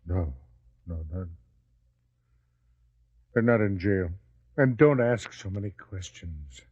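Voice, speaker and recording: A brooding, weary disciple with a very low voice, guarded and terse. It is radio drama acting on a dull, lo-fi recording. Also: male voice, animated, slow, breathy edge, full character voice. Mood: troubled, impatient. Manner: hushed, terse, heavy-hearted. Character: weary, secretive, brooding adult male disciple.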